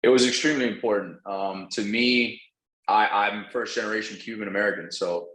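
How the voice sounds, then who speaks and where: A native Spanish-speaking man with a slightly low voice talks informally as an interview guest. He uses slang and curses as he tells stories, in a roomy, echoing recording. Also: fairly even intonation, brisk, a bit bright. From Venezuela. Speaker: podcast guest, real estate entrepreneur. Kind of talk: casual interview storytelling.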